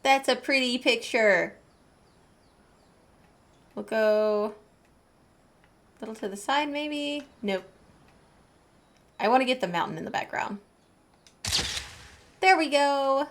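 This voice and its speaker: High-voiced woman playing through a game. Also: slow, long pauses, slight background noise. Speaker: game streamer. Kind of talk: casual let's play, reading dialogue choices aloud. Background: game music.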